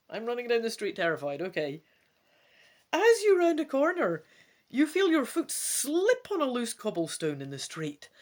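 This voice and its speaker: Female gamebook presenter, highly animated intonation, theatrical and wry, equally at home in dramatic reading and chatty asides. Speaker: gamebook let's play presenter.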